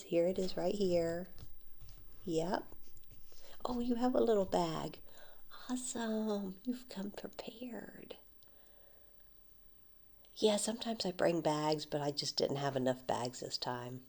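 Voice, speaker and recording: A woman speaks in a deep, leisurely voice in an ASMR roleplay, acting as a friendly flea market seller. She keeps her words soft and unhurried, drifting into whispers. Light laughs come up often between her lines. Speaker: ASMR creator playing a flea market vendor.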